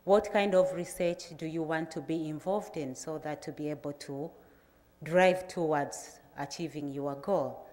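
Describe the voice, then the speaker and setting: A middle-aged Tanzanian woman with a Tanzanian accent, giving a measured, plain presentation to an audience, with frequent pauses. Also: low voice, darkish tone. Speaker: agricultural researcher giving a talk. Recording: reverberant lecture hall.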